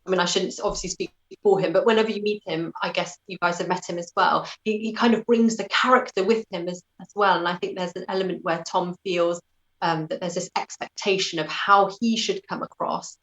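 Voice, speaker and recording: British woman with a slightly low voice. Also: steady tone, a little fast, rough recording. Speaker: interview guest, actor. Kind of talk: conversational interview.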